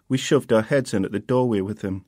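A man, the author reading his own novel in a quiet, steady first-person voice. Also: lowish voice, a little fast, no room sound, studio quality. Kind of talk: audiobook reading.

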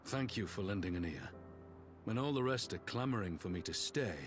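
An actor playing a stern, weary, middle-aged warrior general in a video game, speaking formally and with measured gravity. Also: male voice, on the low side, lively intonation, noisy background, lightly acted. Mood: solemn resolve. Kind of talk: scripted video game dialogue.

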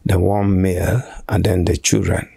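A man in his sixties with a Nigerian accent, giving halting, deliberate answers as a church shepherd in an interview. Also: low voice, little pitch movement, gravelly, warm tone, husky, dry recording, clean studio sound. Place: small furnished room indoors, on a sofa. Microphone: handheld interview microphone held by interviewer.

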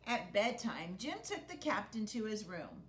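A middle-aged woman reading a picture book aloud to children in an expressive, dramatic storytelling manner. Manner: dramatic, with pauses and gasps for effect. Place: living room by a brick fireplace, indoors. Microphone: camera a short distance away. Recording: roomy home recording with rumbling noise.

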